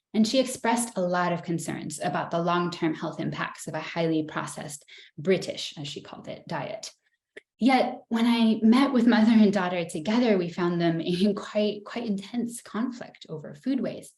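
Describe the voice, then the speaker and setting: A woman with a fairly low voice presents her research in a webinar, reading from a script. She tells it in a measured, story-like way. Her voice carries a noticeable room echo. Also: gravelly, darkish tone. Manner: measured, storytelling delivery with occasional light laughs. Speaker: researcher giving a webinar talk.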